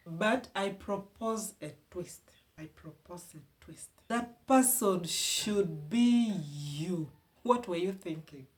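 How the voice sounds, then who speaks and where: A woman's voice: fairly low pitch, slight background noise. Earnest Ugandan woman giving advice. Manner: earnest, emphatic, repeats key phrases. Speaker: vlogger giving relationship advice. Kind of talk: casual advice talk to camera.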